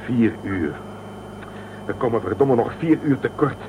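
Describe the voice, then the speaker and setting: Actor doing space captain guy, dry and in charge, very animated pitch, noisy radio drama. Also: a little slow, lightly acted. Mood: wry, grim. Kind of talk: Dutch sci-fi radio drama acting.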